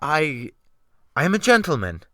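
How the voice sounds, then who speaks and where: A man voicing a flustered, indignant gentleman castaway with a prim, protesting manner in a dramatic audiobook. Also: on the high side, huge pitch swings, normal speed, no echo, studio quality, voice pushed up, heavily acted. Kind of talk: dramatic audiobook character acting.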